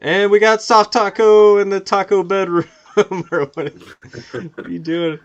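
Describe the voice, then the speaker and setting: A man with a fairly high voice hosts a casual sports podcast. He talks in a loose, joking way and often breaks into laughter between lines. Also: unhurried, smooth, dull audio. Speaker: podcast host.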